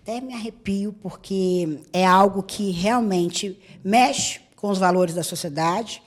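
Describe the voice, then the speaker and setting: A woman's voice: mid-range voice, slow pace. A woman hosting a podcast interview who asks personal questions and answers with anecdotes of her own. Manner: warmly engaged, tells anecdotes. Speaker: podcast host. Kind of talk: interview conversation.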